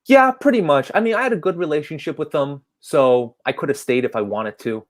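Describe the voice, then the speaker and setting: A man's voice: a little high, lively intonation. A man in his thirties, raised in Hong Kong with Cantonese as his first language, chatting as a guest with frequent restarts and laughs. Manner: halting, restarts often, laughs between stories. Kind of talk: casual interview chat.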